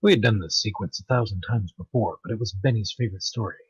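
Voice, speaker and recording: A man giving an amateur dramatic story narration, halting in places and stumbling over words. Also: on the low side, breathy edge, no echo, muffled recording. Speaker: narrator of online story readings.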